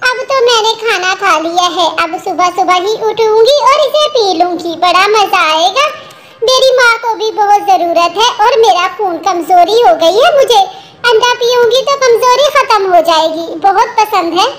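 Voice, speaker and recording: A scheming cartoon she-crow acted by a woman chatters in a very high voice. Clear noise sits behind the recording. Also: lively intonation, measured pace. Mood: greedy glee.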